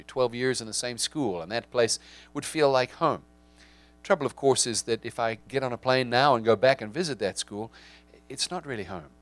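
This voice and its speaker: Reflective South African man preaching. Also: mid-range voice. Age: 40s. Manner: reflective, with frequent restarts and pauses. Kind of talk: sermon.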